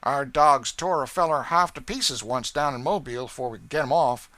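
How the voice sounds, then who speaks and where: A man reading an audiobook and voicing a sly, nervous lawyer who talks in a smooth, conciliatory way. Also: medium pitch, normal speed, bright, forceful tone, no room sound, voice pushed up, natural voice. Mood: wheedling. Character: sly, wheedling lawyer and slave catcher, nervous. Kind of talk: audiobook character voice.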